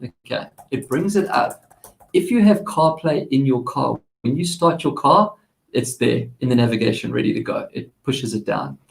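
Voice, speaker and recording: South african guy rambling about tech, gravelly voice, echoey room. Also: medium pitch, expressive, normal speed. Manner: chatty, rambling, with many restarts. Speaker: tech segment host. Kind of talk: casual chat.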